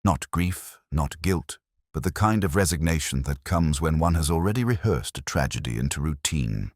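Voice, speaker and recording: A man reading a scripted first-person mystery story in a measured, solemn, literary manner. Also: very deep voice, animated, no room sound, clean studio sound. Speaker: narrator.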